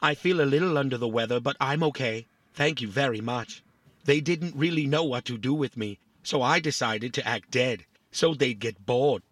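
An actor playing a small, vain, philosophical robot in a family audio drama, boastful and politely fussy. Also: male voice, mid-range voice, moderate pace, fairly bright tone, no room sound, close to an everyday voice.

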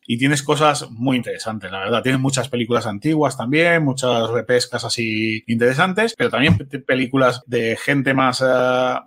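A man from Spain speaks as a guest in a relaxed interview. His voice sits at an average pitch, and he has a Spanish accent. He explains things conversationally and confirms points by repeating short words. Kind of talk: casual film-festival chat.

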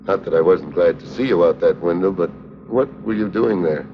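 An actor playing a tough, wisecracking American agent with a wry, slangy manner in an early 1950s TV spy drama. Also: male voice, low voice, normal speed, a little hiss or hum, close to an everyday voice. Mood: easygoing. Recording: muffled vintage 1950s TV soundtrack.

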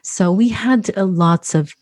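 A woman's voice: medium pitch, measured pace, a little mellow, no room sound. A woman guest on a podcast, talking casually about her family, laughing easily and repeating words as she goes. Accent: London English. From Curacao. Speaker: podcast guest, food photographer. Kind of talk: casual conversation.

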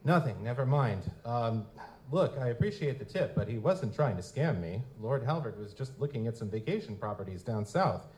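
A man's voice: mid-range voice, expressive, normal speed, darkish tone, natural voice. A man playing a wry, wisecracking goblin private eye in a hard-boiled noir audio drama. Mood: feigned hesitance. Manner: wry, feigns hesitant politeness. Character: wisecracking male lutin goblin private investigator, narrating noir-style. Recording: noisy live stage recording.

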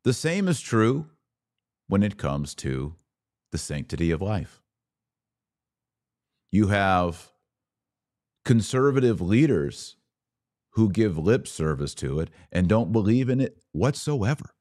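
An American man hosting a political commentary podcast, speaking in a deliberate, emphatic monologue that leans on repeated words for stress. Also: lowish voice, very animated, a little mellow. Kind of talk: opinion monologue to camera.

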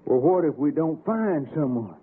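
A man's voice: fairly high pitch, expressive, normal speed, husky. A man playing a devout, reluctant mountain father in a 1960s radio drama, with solemn, folksy speech. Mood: defensive, reluctant. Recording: tinny vintage radio, faint music.

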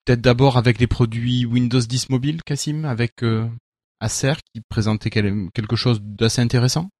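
A man's voice: mid-range voice, fairly even intonation, moderate pace, a little mellow, dry recording. Podcast co-host man, dry sound. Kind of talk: casual podcast hosting.

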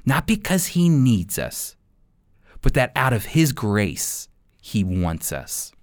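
A man giving prepared Bible teaching in an emphatic, deliberate way, dropping his voice on key words. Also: medium pitch, sing-song, no room sound, studio quality.

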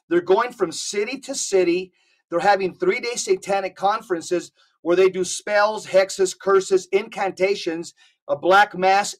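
A man talks as a podcast guest with fervent emphasis. He pushes his points hard and often restarts a phrase before pressing on. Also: sits high. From United States. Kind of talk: conversational podcast talk.

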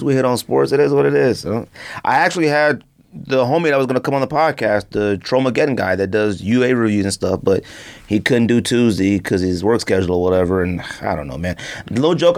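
A man hosting a podcast in casual sports and sneaker banter, slangy, teasing and given to exclamations. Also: mid-range voice. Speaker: podcast host.

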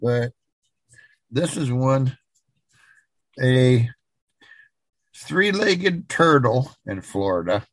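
A man co-hosting a podcast, casually recounting odd news in a halting way as he searches for words. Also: mid-range voice, lively intonation, slight background noise, rough recording. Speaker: podcast co-host.

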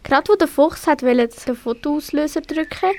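A woman's voice: sits high, moderate pace, heavy background noise. A woman with a Swiss German accent narrating a scripted children's story for an amateur radio play.

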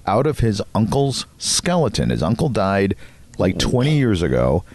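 A man's voice: fairly low pitch, big pitch swings, normal speed, darkish tone, a little hiss or hum. A middle-aged or older man co-hosting a podcast with casual, wisecracking banter and conversational storytelling. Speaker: podcast co-host.

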